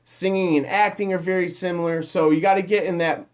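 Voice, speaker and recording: A man's voice: sits high, fairly even intonation, fairly quick, a little mellow. A man who is a music producer, giving singers casual, rambling advice with lots of fillers and restarts.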